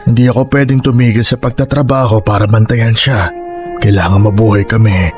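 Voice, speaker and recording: A man acting in a radio drama as a humble, weary elderly grandfather with a Filipino accent, halting and apologetic. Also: lowish voice, steady tone, close to an everyday voice. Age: older. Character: humble, weary elderly grandfather street vendor, stubbornly hardworking. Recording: music bed under dialogue.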